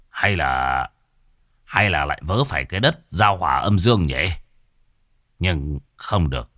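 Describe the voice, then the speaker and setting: A man voicing a boastful, scruffy aging male sorcerer who curses and mutters gruffly. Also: low voice, bright, forceful tone, no echo, clean studio sound, heavily acted. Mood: alarmed muttering.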